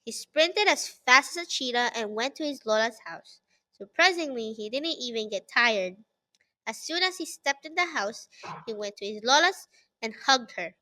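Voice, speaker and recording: A woman with a fairly high voice reads a children's story aloud. She narrates from a script, as the author of the tale. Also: animated, moderate pace, sharp tone, low-quality recording. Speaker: author reading own story.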